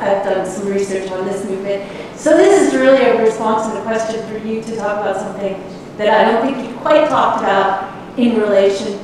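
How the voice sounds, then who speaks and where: A woman's voice: mid-range voice, a little mellow. A woman academic in the audience, framing a detailed question to the speaker. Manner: articulate, framing a question at length. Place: lecture theatre audience. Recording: echoey hall from far off, faint noise.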